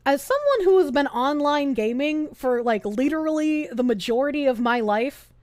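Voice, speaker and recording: A high-voiced woman streamer whose pitch leaps around as she talks. Her commentary is casual and sarcastic. The recording is dry and close, with no room sound. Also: moderate pace, no echo. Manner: sarcastic and animated.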